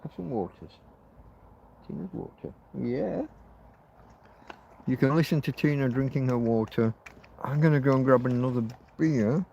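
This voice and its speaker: A man's voice: mid-range voice, moderate pace, vocal fry, pauses often, a little mellow, muffled recording, slight background noise. A man in his fifties hosting a rambling, casual livestream outdoors, talking bluntly and swearing freely. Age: 50s. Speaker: livestream host. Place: outdoors in a field.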